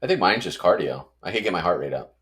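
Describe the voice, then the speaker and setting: Forty-year-old man, podcast co-host, low voice, rapid rambling self-deprecating talk. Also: expressive, a bit bright. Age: 40s. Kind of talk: casual chat.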